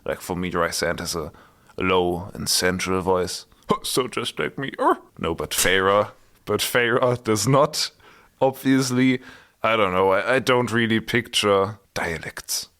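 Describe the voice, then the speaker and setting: A man's voice: lowish voice, slow pace, fairly bright tone. A man with a German accent chatting casually as a podcast co-host, with dry humor and frequent laughs. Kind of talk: casual chat.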